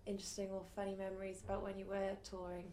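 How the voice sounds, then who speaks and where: Woman interviewer, mid-pitched voice, hesitant questions, faint background noise. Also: completely flat delivery, rapid-fire. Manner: gentle, hesitant questions with fillers.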